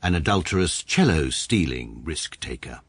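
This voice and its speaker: An actor with a British accent playing a stiff, pedantic upper-class lawyer, narrating and speaking formally and precisely. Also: male voice, very deep voice, big pitch swings, normal speed, husky, dry recording, heavily acted. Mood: stiffly polite. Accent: British English. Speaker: narrator and lead. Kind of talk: radio comedy drama.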